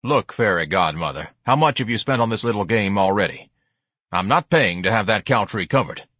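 A man's voice: fairly low pitch, lively intonation, brisk, fairly bright tone, breathy edge, dry recording. A man voicing an exasperated middle-aged husband in an audiobook, with a dry, grumbling manner. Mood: dry sarcasm.

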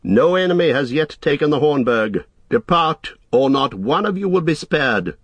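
A man reading the part of a noble warrior leader who speaks with steady, solemn command. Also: fairly high pitch, moderate pace, husky, no echo, dull audio, pitched above the performer's own voice.

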